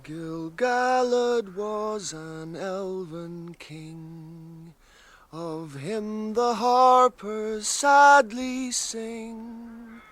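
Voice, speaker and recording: An actor in a radio drama playing a plain-spoken, loyal hobbit servant with a homely, blunt manner. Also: male voice, high voice, extremely slow, a bit bright, heavily acted. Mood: solemn reciting.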